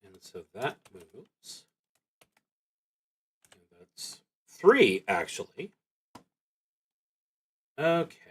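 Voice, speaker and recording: A man streaming a solo tabletop roleplaying game, thinking aloud in a halting, reflective way as he works through his moves. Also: mid-range voice, wildly swooping pitch, a bit bright, breathy edge.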